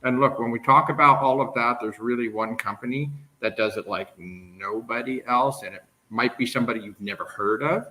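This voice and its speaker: American guy hosting a travel q&a live, bright voice, super excited, laughs a lot. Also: medium pitch, normal speed, few pauses, low-quality recording. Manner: enthusiastic, laughs often, greets viewers by name. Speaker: travel agent host.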